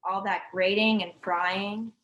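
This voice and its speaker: A woman's voice: medium pitch, steady tone, slow pace, a bit bright. Woman acting a kindly older neighbour lady, echoey room. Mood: hesitant, homely. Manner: homely exclamations, reassuring. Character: kindly, tidy older woman neighbour, patient but wearying.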